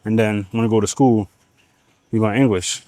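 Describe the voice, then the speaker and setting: A man's voice: fairly low pitch, fairly even intonation, normal speed, warm tone, no room sound. A man from Nigeria whose first language is Igbo, rambling casually in a gym vlog with slang and swearing. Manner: slangy, profane, rambling asides. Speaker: fitness vlogger.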